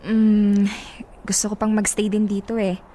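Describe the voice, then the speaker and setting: Actress as breezy, insistent young Filipina, mid-pitched. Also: female voice, little pitch movement, a little slow, warm tone, slight background noise, natural voice. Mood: helpful, insistent. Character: friendly, insistent, playful young Filipina office worker. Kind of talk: radio drama acting.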